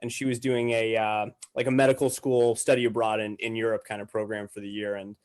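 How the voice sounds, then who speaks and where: A man's voice: fairly low pitch, level tone, brisk, a little mellow. A man in his twenties from Massachusetts with an American accent, chatting and telling stories in an informal, slangy way. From Massachusetts, United States. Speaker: podcast guest. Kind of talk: casual conversational storytelling.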